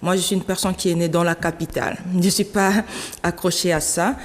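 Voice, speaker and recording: A woman in her late thirties from the Democratic Republic of Congo, telling her story as an interview guest, animated and laughing often. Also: on the low side, a little fast. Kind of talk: conversational interview. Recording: long hall reverb.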